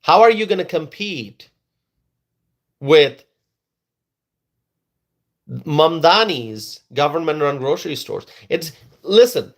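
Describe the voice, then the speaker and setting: A man's voice: fairly high pitch, expressive, slow pace, long pauses. A man whose first language is Bengali, giving an emphatic political opinion monologue and repeating words for stress. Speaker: political commentator.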